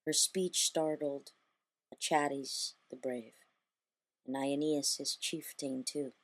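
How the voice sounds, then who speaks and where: A woman reading an epic poem aloud as a bedtime story, slow and deliberate. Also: very deep voice, steady tone. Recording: echoey, long hall reverb.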